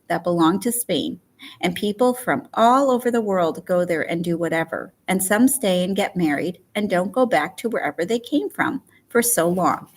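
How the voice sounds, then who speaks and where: A woman narrating a first-person story as an audiobook reader, in an expressive, storytelling way. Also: mid-range voice, slightly rough.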